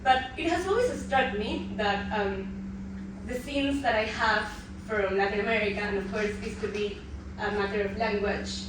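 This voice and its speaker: A woman in her thirties from Peru introduces a live panel. She sounds a bit nervous and halting, with frequent ums and restarts. She is heard from a distance in a very echoey room over steady background noise. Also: medium pitch. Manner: hesitant, many ums and restarts. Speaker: panel moderator.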